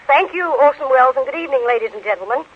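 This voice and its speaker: A woman's voice: high-pitched, expressive, racing, husky. A woman guest author speaking in scripted 1930s radio banter. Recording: thin phone-like 1930s radio line.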